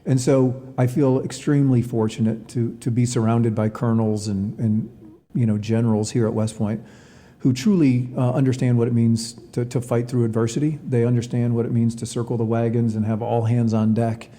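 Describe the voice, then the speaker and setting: A man answering interview questions as a college athletics director, in a measured way with hesitant, repeated words. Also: medium pitch, steady tone, warm tone, long reverb tail.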